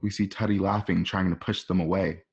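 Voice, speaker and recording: A man's voice: sits low, steady tone, fairly quick, gravelly, dull audio. A man reading stage directions for a radio drama in a conversational way, with casual asides. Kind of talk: informal scripted narration for radio drama.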